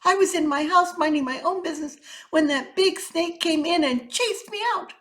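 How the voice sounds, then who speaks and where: A woman's voice: moderate pace, a bit bright. A woman voicing a timid little rabbit in a storybook read-aloud, pleading its innocence. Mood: frightened pleading. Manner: high, pleading.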